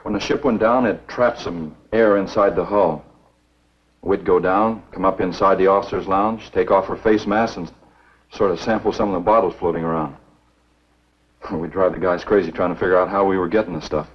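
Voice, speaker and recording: A man acting an earnest, duty-bound American lawman in a 1950s B-movie, speaking in a measured, matter-of-fact, husky voice. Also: low-pitched, steady tone. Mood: earnest, reasoning. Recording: dull, muffled 1950s film soundtrack.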